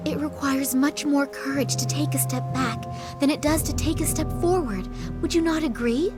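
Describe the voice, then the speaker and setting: An actress playing a composed woman ruler who speaks calmly, gravely and persuasively. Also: sits high, normal speed, loud music behind the voice, close to an everyday voice. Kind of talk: dubbed video game cutscene.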